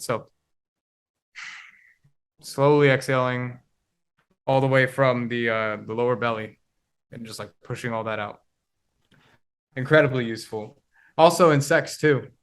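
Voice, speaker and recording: A man in his twenties, a podcast guest, talking casually in a reflective, halting way as he searches for words. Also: mid-range voice, fairly even intonation, a bit bright, clear, resonant tone. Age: 20s. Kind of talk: casual conversation.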